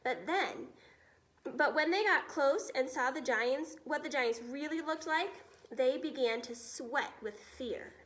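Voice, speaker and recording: A woman narrating a children's storybook expressively, with dramatic, deliberate emphasis. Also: sits high, lively intonation, a bit bright. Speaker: teacher reading a children's book aloud.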